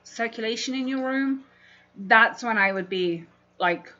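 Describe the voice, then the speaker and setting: A woman's voice: fairly high pitch, measured pace, pushed and bright. A woman from London with a London English accent, chatting casually and in a rambling way as she hosts a livestream and answers viewers. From London, England. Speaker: livestream host, fishkeeping hobbyist. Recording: dull, muffled livestream audio.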